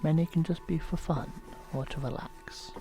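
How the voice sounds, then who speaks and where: A man voicing a gentle, soft-spoken television painter who has turned menacing, in a horror ASMR role-play. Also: on the high side, vocal fry, music in the background. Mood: calm. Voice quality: soft whispery delivery. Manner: slow, soothing, softly menacing. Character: gentle middle-aged male TV painter turned unhinged.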